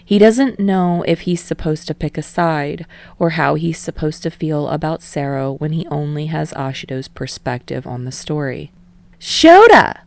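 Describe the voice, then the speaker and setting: A woman reading fan fiction aloud in a calm, steady narration. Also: sits low, normal speed, no echo. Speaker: podfic reader. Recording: dry close home recording.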